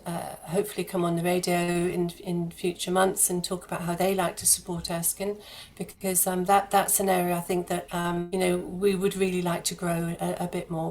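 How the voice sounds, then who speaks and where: Low-pitched, even-toned woman being interviewed. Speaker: charity fundraising head, radio guest. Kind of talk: conversational radio interview.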